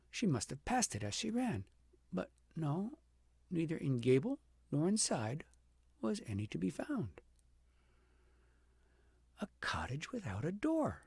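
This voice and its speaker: A man narrating a fairy tale aloud as an audiobook, with dramatic, deliberate phrasing that swells for emphasis and drops to a hush. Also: on the high side, creaky, slightly rough, dry recording. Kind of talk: expressive storybook narration.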